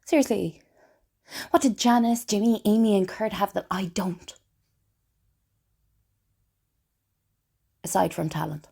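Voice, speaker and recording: A woman playing a lonely, wry, broken woman confiding in Death in an intimate, hesitant theatrical monologue, with a husky, breathy voice. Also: medium pitch, moderate pace, darkish tone. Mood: wry, melancholy. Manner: confessional, self-deprecating, hesitant. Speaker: writer and performer of a one-woman play.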